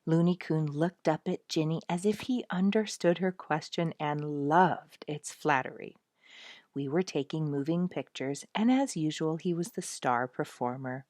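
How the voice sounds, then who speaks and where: A woman narrating a children's story in an expressive, animated storytelling style, acting out the key moments. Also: low-pitched, a little slow, no room sound, studio quality. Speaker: audiobook narrator.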